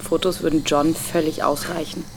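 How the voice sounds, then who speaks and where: An actress playing a cool, world-weary wife in a naturalistic radio drama, speaking tersely and dryly. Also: female voice, low voice, flat delivery, moderate pace, noisy background. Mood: reproachful.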